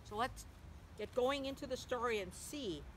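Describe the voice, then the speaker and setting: Lady doing storytime for little kids, gentle simple wording, chats with the listener, noisy recording. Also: fairly high pitch, normal speed. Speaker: children's story reader, teacher. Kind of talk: read-aloud storytime for young children. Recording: clearly noisy background.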